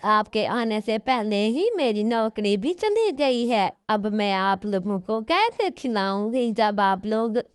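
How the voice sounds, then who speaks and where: A woman's voice: medium pitch, animated, slow, few pauses, smooth, dry recording, studio quality. A woman voicing a distressed mother bird in a cartoon story, plaintive and pleading. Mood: sorrowful. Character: distressed mother bird turned ghost, pleading for her eggs.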